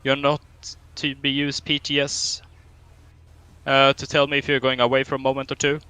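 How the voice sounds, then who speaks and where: A man's voice: mid-range voice, completely flat delivery, normal speed, sharp tone, clean tone. A young man with a Swedish accent running a roleplay police training, stern and drill-like with dry humor. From Sweden. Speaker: training officer.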